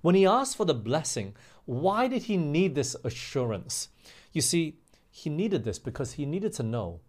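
A man preaching a sermon in an emphatic way, slowing down on key phrases and putting rhetorical questions to his listeners. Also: mid-range voice, darkish tone. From grew up overseas in England, Australia and the US.

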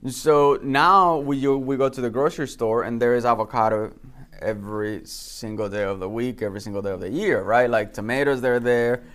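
A man gives an informal class talk. His voice sits at a middle pitch. He speaks haltingly, circling back and repeating words as he explains things through anecdotes. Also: a bit bright. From Dominican Republic. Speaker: gardening class instructor. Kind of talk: informal lecture with personal anecdotes.

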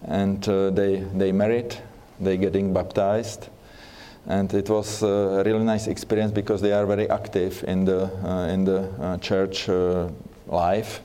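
A man with a Czech accent giving a church seminar talk, speaking haltingly with many fillers and restarts. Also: sits low, little pitch movement, dark tone, clear, resonant tone. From Czech Republic.

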